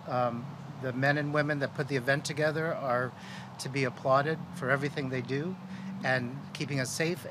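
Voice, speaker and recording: A man's voice: medium pitch, noisy background. A man from Washington, D.C., a concert operations director, casually introducing speakers at an outdoor press conference. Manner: friendly, casual with fillers. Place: outdoors at a city event.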